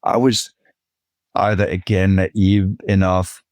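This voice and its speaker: A man, a guest author on a podcast, talking casually in halting stops and restarts. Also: low-pitched, level tone, a little mellow, no echo. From Denmark. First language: Danish. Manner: halting, repeats words, swears casually.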